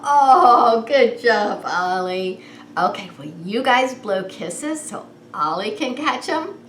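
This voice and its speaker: A woman hosting story time as a preschool teacher, reading in a sing-song, animated way and coaxing small children with questions. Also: fairly high pitch, slow. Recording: roomy echo with some background noise.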